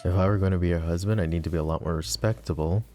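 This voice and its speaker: A man's voice: low voice, racing, dark tone, faint music behind, natural voice. A man playing a flirty, possessive mafia boss in an ASMR roleplay, talking in an intimate, teasing, casual way straight to his lover. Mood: teasing, wry. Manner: intimate, teasing, casual, addressing the listener directly.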